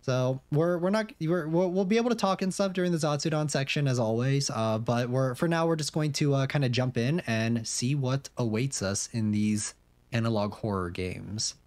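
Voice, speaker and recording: A young man streams a horror game, talking loosely and rambling through fillers and restarts. His voice sits at a middle pitch. The sound is dry and close to the mic. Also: normal speed, a little mellow, dry recording. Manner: chatty, rambling, many fillers and restarts, reads questions aloud. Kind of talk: casual game commentary.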